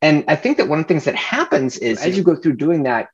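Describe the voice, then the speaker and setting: A man's voice: a little high, big pitch swings, fast talker. Male podcast host on a video call; reflective, filler-heavy delivery, dull lo-fi sound. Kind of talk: podcast conversation.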